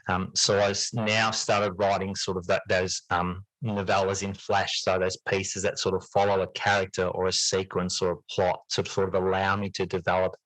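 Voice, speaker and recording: Low-voiced man reflecting in an interview. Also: monotone, normal speed, hardly any pauses, a bit bright, no room sound, poor audio. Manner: hedging, frequent "sort of", repeats words. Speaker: podcast guest, teacher and fiction writer.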